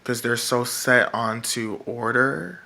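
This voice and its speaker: A man with a feminine-sounding voice speaks slowly and haltingly, with a gravelly edge and a bright, tense tone. He rambles through his thoughts, stopping and restarting often. It sounds like a casual monologue for a vlog. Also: medium pitch. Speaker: political vlogger.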